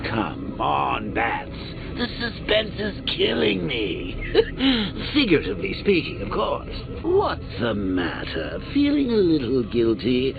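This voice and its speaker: An actor playing a manic, theatrical male clown villain in a video game, taunting in sing-song swings from purring lows to shrieking highs, with a gravelly voice and a cackling laugh. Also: wildly swooping pitch, slow, narrow, tinny audio, music in the background, full character voice. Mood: mocking.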